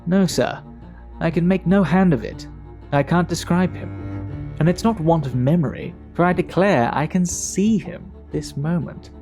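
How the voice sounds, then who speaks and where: A talkative, worldly English gentleman of the Victorian era in an audio drama. His voice is mellow and gravelly, with music underneath. He tells his tale in measured, fastidious fashion, like a practised gossip. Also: a little high, lively intonation. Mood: uneasy, puzzled.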